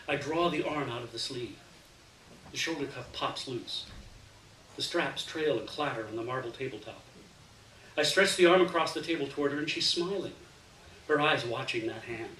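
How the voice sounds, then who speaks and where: Male story reader for literary fiction: bright, expressive delivery, recorded at a distance in a roomy space. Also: medium pitch. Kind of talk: live literary fiction reading, first-person narration. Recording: roomy, distant mic, faint hiss.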